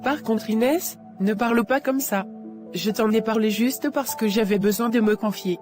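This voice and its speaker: A woman playing an earnest, discreet wife in a cartoon moral drama, speaking in a measured way. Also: a little high, natural voice. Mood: guarded. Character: earnest, discreet married woman, anxious and sincere. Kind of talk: animated moral drama dialogue. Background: music.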